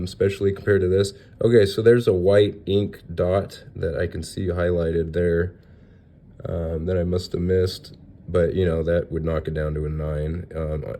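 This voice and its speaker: A young man with a stuffy, nasal voice from a recent cold, chatting loosely through a card unboxing with many pauses and fillers. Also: low voice, mellow. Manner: rambling, hesitant, lots of fillers. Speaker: card collector showing graded cards. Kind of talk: casual unboxing vlog.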